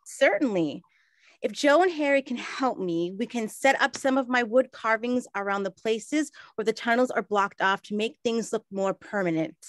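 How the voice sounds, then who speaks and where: A woman's voice: on the high side, normal speed, no room sound, lightly acted. An actress playing a refined, helpful lady of the 1920s, speaking politely and precisely in a period comedy. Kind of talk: period comedy radio-play acting.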